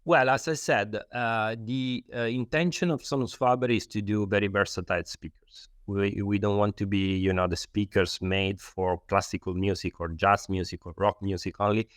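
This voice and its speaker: A man's voice: lowish voice, fairly even intonation, normal speed, hardly any pauses, no room sound, studio quality. A man with an Italian accent, a non-native English speaker, giving explanatory interview answers and often searching for words. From Italy. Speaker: loudspeaker designer, interview guest.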